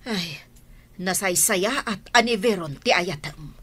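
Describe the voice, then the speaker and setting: A woman's voice: mid-range voice, lively intonation, unhurried, sharp tone, breathy edge, full character voice. A woman acting an insistent, disapproving mother in a radio drama, with a scolding, emphatic manner. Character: insistent, disapproving mother pressing her son about love.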